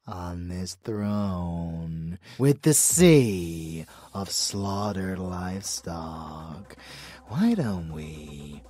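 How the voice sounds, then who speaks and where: A man playing a dark, obsessive vampire lover in an ASMR audio roleplay, with a theatrical, seductive delivery and murmurs. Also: low voice, full character voice.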